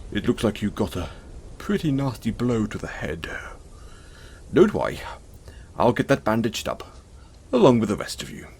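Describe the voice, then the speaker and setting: A man playing a gentle, caring, self-conscious centaur in an intimate audio roleplay, speaking in a soothing, hesitant, apologetic way. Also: on the low side, huge pitch swings, moderate pace, gravelly, pauses often, a little hiss or hum, exaggerated voice. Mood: kind, reassuring.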